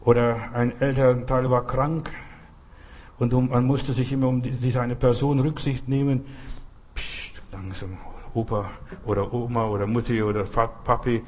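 A man's voice: mid-range voice, level tone, moderate pace, darkish tone. A man giving a charismatic sermon, stressing and repeating short phrases. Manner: emphatic, repeats phrases, cries hallelujah. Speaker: preacher.

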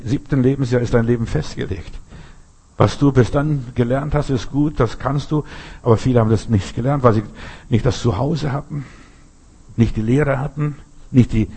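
A man delivers a sermon in a dark, mellow voice with a husky grain. He talks emphatically and leans on rhetorical questions. He often breaks off and restarts his sentences. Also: medium pitch. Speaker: preacher.

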